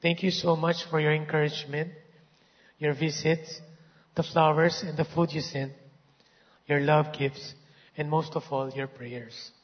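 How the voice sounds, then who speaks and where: Hoarse man giving a testimony in a hall. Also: fairly high pitch, fairly even intonation, measured pace, long pauses. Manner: earnest, grateful. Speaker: church member giving a testimony. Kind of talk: reading a prepared testimony. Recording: dull sound in a reverberant hall.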